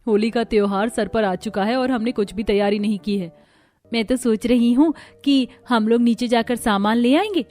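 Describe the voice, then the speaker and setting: A woman's voice: on the high side, a little mellow, natural voice. A woman voicing an eager, dreamy young village daughter-in-law in a cartoon story, earnest and emphatic.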